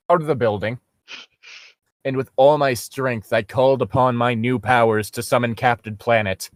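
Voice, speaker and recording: A man's voice: mid-range voice, no echo, clean studio sound. A man casually reading a story aloud and picking it apart with sarcastic, sweary commentary as a co-host. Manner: sarcastic, nitpicking, swears freely. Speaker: co-host reading and mocking amateur fiction.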